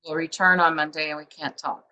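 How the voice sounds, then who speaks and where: A woman's voice: low voice, flat delivery, fairly quick, bright, forceful tone, dull audio, slight background noise. A woman publisher hosting a book show over a video call, chatty and rambling with many fillers. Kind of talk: casual interview chat.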